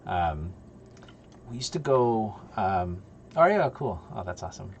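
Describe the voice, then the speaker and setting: Low gravelly man rambling on a stream. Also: sing-song, measured pace, darkish tone, muffled recording, slight background noise. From Poland. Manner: rambling, chatty, many ums and restarts. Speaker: game developer streamer. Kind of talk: casual livestream chat. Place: home office at a desk.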